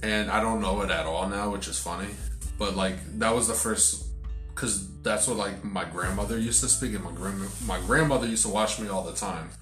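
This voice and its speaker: A man's voice: lowish voice, moderate pace, a bit bright, roomy sound, faint music behind. A young man chatting casually as a podcast co-host, rambling with lots of fillers and swearing; his first language was Sicilian. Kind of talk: casual chat.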